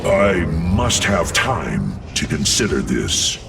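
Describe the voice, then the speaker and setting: An actor playing a proud, honourable alien machine warrior in a game cutscene, solemn and declamatory. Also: male voice, extremely low pitch, sing-song, slow, gravelly, far from the mic, very noisy, exaggerated voice. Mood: troubled, solemn. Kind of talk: video game cutscene acting. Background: loud music.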